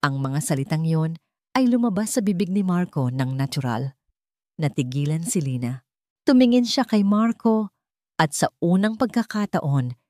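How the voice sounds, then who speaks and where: A woman's voice: lowish voice, warm tone, dry recording, clean studio sound. A woman with a Filipino accent narrating a scripted dramatic audio story.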